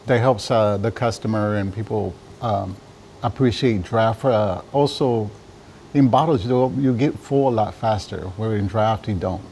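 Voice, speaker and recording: A man from Mexico giving casual interview answers about his trade, often halting as he searches for words. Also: fairly low pitch, a little mellow, a little hiss or hum. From Juarez, Mexico. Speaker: interviewed draft beer system installer and business owner.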